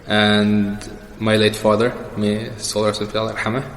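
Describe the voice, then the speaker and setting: A man's voice: lowish voice, completely flat delivery, normal speed. A young man answering questions as the guest in a casual personal interview. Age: young adult. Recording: echoey reverberant room with background noise.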